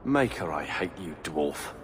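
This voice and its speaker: An actor playing a brash, resentful younger brother in a video game, speaking bluntly and sarcastically. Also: male voice, sits low, lively intonation, a little slow, fairly bright tone, a little hiss or hum. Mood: irritated, sarcastic. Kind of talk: video game dialogue acting. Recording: long hall reverb, music behind.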